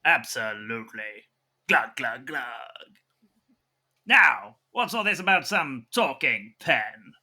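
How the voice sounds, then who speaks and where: A man's voice: medium pitch, big pitch swings, slow pace, sharp tone, husky, exaggerated voice. A man playing a breezy, self-satisfied, glib action man in a comic audio drama.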